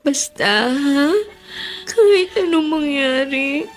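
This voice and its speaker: A woman playing an ailing, devoted mother in a melodrama, with a Filipino accent and tender, halting, emotional delivery. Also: sits high, animated. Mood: tearful, pleading. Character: ailing, tearful, devoted mother of a young child.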